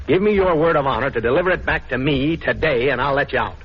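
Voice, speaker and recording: A man's voice: mid-range voice, quick pace, breathy edge, close to an everyday voice. A man playing an exasperated, blustering police inspector who needles and wheedles in a 1940s radio crime drama. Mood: pleading. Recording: dull, noisy 1940s radio recording.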